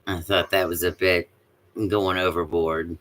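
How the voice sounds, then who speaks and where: A woman with a deep voice chatting casually on a live stream, rambling with many fillers and restarts. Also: flat delivery, a little slow, a bit bright. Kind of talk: casual chat.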